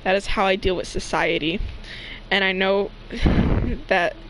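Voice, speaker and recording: A mid-pitched voice chats and recites informally, with slangy, teasing phrasing. The delivery is that of an amateur among friends. The home recording is clearly noisy. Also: measured pace, fairly bright tone. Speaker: co-host joining a fan fiction reading. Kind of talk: casual joking chat and amateur recitation.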